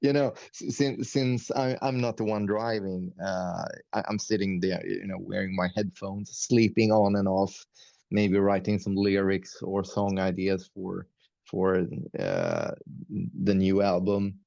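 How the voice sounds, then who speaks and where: A very deep, gravelly male voice with a dark, mellow tone, speaking slowly. He is a Swedish-native metal musician being interviewed, hesitant, with frequent fillers and restarts. The sound is dry and a bit dull, like a lo-fi call. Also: no room sound. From Sweden. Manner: hesitant, many fillers and restarts, laughs often. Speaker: guest musician, metal band. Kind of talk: casual interview chat.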